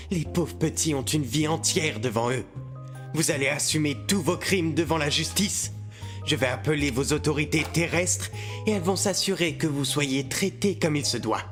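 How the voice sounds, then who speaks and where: A man's voice: on the high side, moderate pace, fairly bright tone, soft background music, natural voice. A man voicing an earnest, apologetic demon henchman in a cartoon fan dub, polite, formal and hesitant. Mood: righteous.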